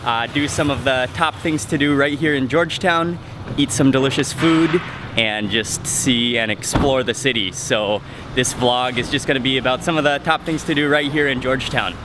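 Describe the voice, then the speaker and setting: A man casually narrating a travel vlog while walking outdoors, relaxed and chatty with fillers. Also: mid-range voice, moderate pace. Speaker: travel vlogger. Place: outdoors on city streets. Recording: music bed and traffic behind.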